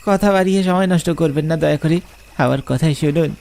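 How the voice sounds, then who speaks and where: A man's voice: on the high side, fairly quick, creaky, a little mellow. A man voicing a superstitious village rickshaw puller who speaks respectfully and pleads urgently. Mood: urgent warning.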